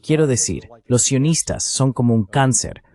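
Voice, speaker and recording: A man's voice: medium pitch, moderate pace, dark tone. A man reading a translated voice-over of a political commentator in an interview.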